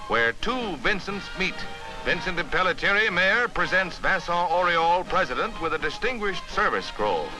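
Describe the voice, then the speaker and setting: A man's voice: sits high, rough recording. An American man narrating a 1950s newsreel in a formal, emphatic, dramatic announcer's delivery. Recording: vintage newsreel soundtrack with music.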